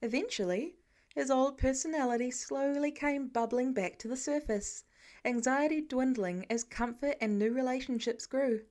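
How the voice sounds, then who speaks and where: Higher-voiced woman narrating a story. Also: normal speed, darkish tone. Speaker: fan fiction podfic narrator.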